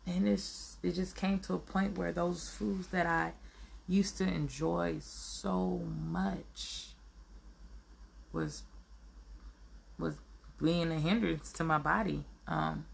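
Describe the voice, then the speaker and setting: A woman with a raspy, allergy-hoarse voice, speaking in an earnest, self-affirming solo monologue and often pausing to search for words. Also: deep voice, fairly even intonation, a little hiss or hum.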